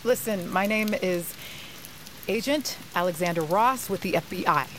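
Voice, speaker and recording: An actress plays a brisk government agent with a lowish voice in a sci-fi audio drama. She sounds curt, authoritative and matter-of-fact, with clear noise of rain and vehicle ambience behind her. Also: natural voice. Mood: impatient, commanding. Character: brisk, authoritative female federal agent on a secret mission. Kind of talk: audio fiction acting.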